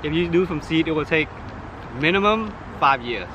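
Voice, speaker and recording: A man's voice: fairly high pitch, lively intonation, moderate pace, dull audio. A man in his thirties, a home grower explaining the steps plainly in a yard, recorded outdoors. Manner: plain, step-by-step explaining with fillers. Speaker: guest pomegranate grower. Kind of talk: casual explaining. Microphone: camera held by another person a few feet away. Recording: outdoor recording with traffic noise.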